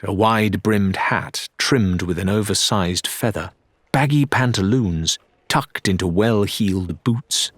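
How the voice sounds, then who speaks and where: A man's voice: on the low side, fairly bright tone, husky, dry recording. A man reading a dramatic adventure audiobook as narrator, in scripted storytelling style.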